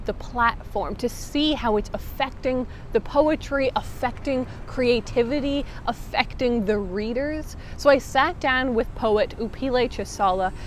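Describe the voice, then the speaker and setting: A woman's voice: a little high, a little hiss or hum. Earnest young woman narrating a documentary. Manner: earnest, measured, explanatory. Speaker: documentary maker and interviewer. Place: outdoors by a stone wall. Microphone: lapel mic. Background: background music.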